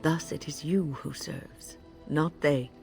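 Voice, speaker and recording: An actress playing a wise older woman in a video game, a patient mentor with a measured, earnest way of speaking. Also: deep voice, steady tone, gravelly, darkish tone, faint music behind, full character voice. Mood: earnest, firm. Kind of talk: video game dramatic acting.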